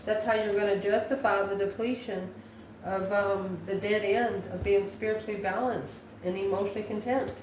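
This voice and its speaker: A woman works through a spiritual tarot reading in a halting, musing manner. Her voice sits at an average pitch and comes from a little way off the mic in a clearly echoey room. She poses questions and answers them as she goes. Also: fairly even intonation, darkish tone. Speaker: tarot card reader, channel host. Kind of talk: improvised spiritual tarot reading, talking to viewers. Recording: echoey room, distant mic, faint noise.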